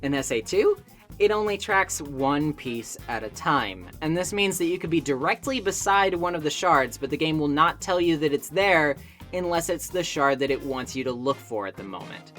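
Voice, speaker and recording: A woman with a notably low voice delivers scripted review narration. Her intonation moves around a lot, with wry, opinionated asides in a conversational manner. Faint music plays behind her. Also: lively intonation, moderate pace, a bit bright. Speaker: video essay host and game reviewer. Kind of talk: scripted video essay narration. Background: background music.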